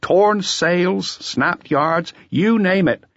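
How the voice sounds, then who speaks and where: A man's voice: high-pitched, drawn-out words, a bit bright, no echo, lo-fi sound, pitched above the performer's own voice, full character voice. A man voicing a chatty, conniving harbour barman in an audiobook, with garrulous, colloquial working-class talk. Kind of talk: audiobook character dialogue.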